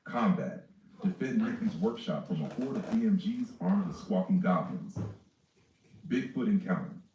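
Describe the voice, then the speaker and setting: A man reading a character and campaign sheet aloud in a flat, list-like way. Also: low voice, moderate pace. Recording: distant, echoey, faint noise.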